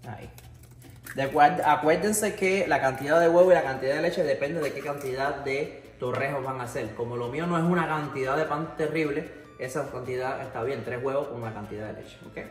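A man's voice: medium pitch, fairly quick, roomy sound, faint music behind. A young man from Cuba with a Cuban Spanish accent, chatting casually to viewers as he demonstrates a recipe in his home kitchen. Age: young adult. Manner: chatty, addresses viewers directly, mixes in English "so" and "ok". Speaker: cooking show host.

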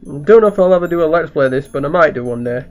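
A woman with a sore, raspy throat hosting a let's play, patiently walking a younger player through the controls. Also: low voice, expressive, fast, a little mellow. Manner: guiding, explaining controls. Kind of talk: casual gameplay chat. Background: game music.

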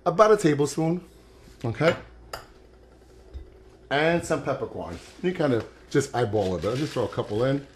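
A man's voice: medium pitch, animated, normal speed, long pauses, slight background noise. An older man hosting a casual cooking demonstration in his home kitchen, chatting and encouraging viewers as he works. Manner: chatty, encouraging, talks while working. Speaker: cooking show host.

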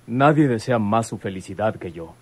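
A man's voice: on the low side, lively intonation, moderate pace, darkish tone, husky, dry recording. A man playing a loyal, respectful deputy in an old radio drama. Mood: concerned. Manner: deferential, earnest. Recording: slightly noisy old radio serial.

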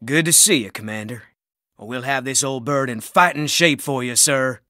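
A man's voice: medium pitch, moderate pace, bright, forceful tone, no room sound, clean studio sound, lightly acted. An actor playing a cynical, insubordinate male spaceship crewman in a video game, with a slangy, blue-collar, sardonic manner. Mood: respectful. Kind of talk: video game character barks.

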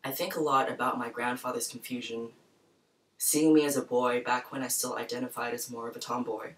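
A young woman hosting a program, speaking in a soft, thoughtful, personal way in a small room. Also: extremely low pitch, recorded from a distance, rough recording. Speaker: program host. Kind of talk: reflective spoken introduction. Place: small bright room with plants, indoors.

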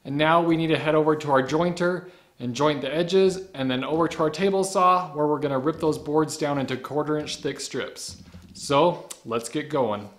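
A man's voice: on the high side, moderate pace. Casual man explaining a woodworking project. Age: 30s. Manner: conversational, explanatory. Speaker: woodworker and video host. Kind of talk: casual instructional vlog. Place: garage woodworking shop.

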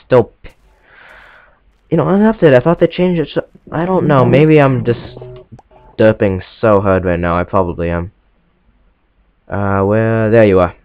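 A man's voice: fairly low pitch, measured pace, pauses often, clear, resonant tone, no echo. A teenage boy who sounds stuffed up from a cold, rambling apologetically and poking fun at himself while commenting on his gameplay. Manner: rambling, self-deprecating and apologetic. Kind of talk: casual let's-play commentary. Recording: lo-fi recording with faint game music.

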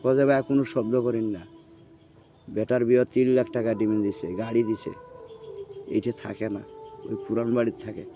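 A man's voice: medium pitch, level tone, moderate pace, long pauses. A man with a rural northern Bengali dialect telling a story in casual chat, acting out the dialogue and checking that the listener follows. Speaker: storyteller recounting own experience. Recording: muffled, noisy field recording.

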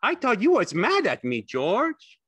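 A man voicing a childlike, simple-minded big man in an audiobook, with a pleading, excitable, drawling delivery. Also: sits high, a little slow, breathy edge, no room sound, pitched above the performer's own voice, heavily acted. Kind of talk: audiobook character acting.

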